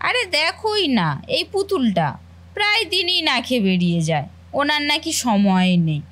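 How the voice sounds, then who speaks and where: A woman's voice: high-pitched, very animated, normal speed, fairly bright tone. A woman voicing a caring, fussing sister-in-law and housewife in a family cartoon. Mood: fond scolding. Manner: affectionate, fussing, motherly. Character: caring, doting elder sister-in-law, housewife, gently scolding. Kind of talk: Bengali family cartoon voice acting.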